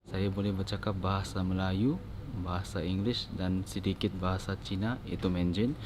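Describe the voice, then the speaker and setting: A man's voice: low voice, steady tone, fast, background noise. A young male university student chatting casually in an interview about the languages he speaks. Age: young adult.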